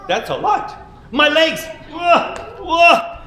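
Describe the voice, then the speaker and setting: A man's voice: extremely high pitch, expressive, slow, vocal fry, sharp tone, echoey room, a little hiss or hum, pitched above the performer's own voice, heavily acted. A storyteller voicing an earnest young male beaver who boasts about his strength and frets about splinters. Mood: proud.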